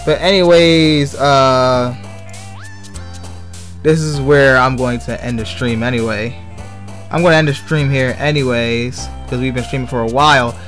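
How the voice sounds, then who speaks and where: Man, mid-pitched voice, casual gaming streamer, rambling, repetitive phrasing, background music. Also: steady tone, unhurried, fairly bright tone. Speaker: livestreamer.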